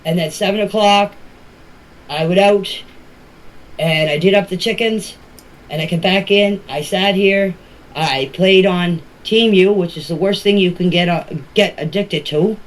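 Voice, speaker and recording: A woman's voice: on the low side, a little slow. A middle-aged or older woman recounting events informally in voice messages, plainly and step by step, with colloquial grammar. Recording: noisy voice-message clips.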